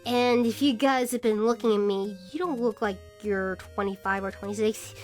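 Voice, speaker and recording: A woman's voice: medium pitch, normal speed, a little mellow, faint music behind. A woman in her twenties chatting casually as a vlog host, rambling and hesitant as she talks. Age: 20s. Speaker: vlogger and podcast host. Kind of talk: casual shoutout vlog chat.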